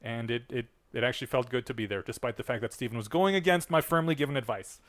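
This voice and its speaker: A man's voice: mid-range voice, quick pace, a bit bright. Blunt, wry man hosting a podcast. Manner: blunt, wry, swears casually. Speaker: podcast host. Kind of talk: casual chat.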